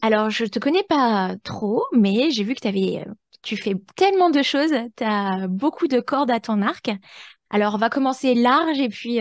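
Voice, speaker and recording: A woman's voice: a little high, no room sound, clean studio sound. A woman hosting a conversational interview, speaking informally and feeling her way reflectively through her sentences. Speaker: podcast host, interviewer.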